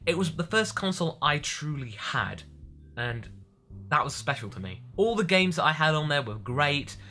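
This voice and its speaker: A man's voice: fairly high pitch, pushed and bright. A man speaking expressively as a vlogger answering viewer questions, stressing and stretching words for emphasis. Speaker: vlogger and anime parody voice actor.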